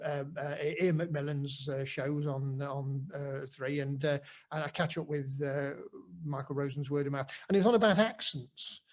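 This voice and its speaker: A middle-aged man with a Nottingham accent interviewing in a relaxed conversation, pausing often with ums and restarts. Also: a little high. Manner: halting, full of ums and restarts. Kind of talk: casual conversation.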